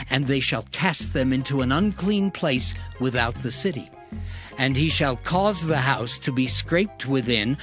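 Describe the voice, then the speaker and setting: A man narrating scripture from a script in a measured, solemn manner. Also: mid-range voice, fairly bright tone. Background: background music.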